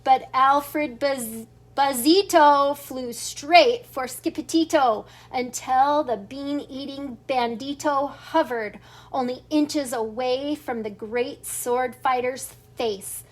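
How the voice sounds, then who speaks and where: An older woman reads a children's story aloud in a high voice. She takes her time, narrating expressively and now and then stumbling or chuckling over the words. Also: slow pace. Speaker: storybook reader.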